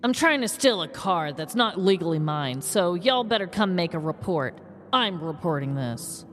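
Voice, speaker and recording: A man voicing a nervous, timid woman trying to steal a car in a falsetto. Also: expressive, moderate pace, fairly bright tone, soft background music. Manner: high, hesitant, whiny falsetto.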